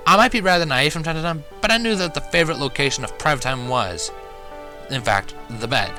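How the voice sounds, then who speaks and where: A man narrating a first-person fan fiction story in a wry, dramatic manner. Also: mid-range voice.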